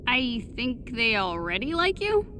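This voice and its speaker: A woman playing an upbeat young American heroine in a video game, chatting casually and reassuring a friend with gentle teasing. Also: a little high, very animated, unhurried, background noise. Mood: playful, casual. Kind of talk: naturalistic game voice acting, casual chat.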